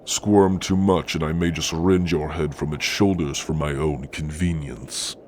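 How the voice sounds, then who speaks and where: A hulking orc warrior with an exceptionally deep voice. He speaks slowly and sternly, with archaic, menacing phrasing and dark amusement, in an ASMR fantasy roleplay. Some background noise sits behind him. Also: steady tone. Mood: menacing, amused. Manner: slow, archaic, threatening phrasing.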